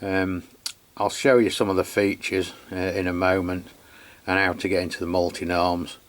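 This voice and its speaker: An Englishman giving an unscripted product review and demonstration, chatty and matter-of-fact, with a halting delivery. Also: sits low, level tone. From England. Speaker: radio reviewer.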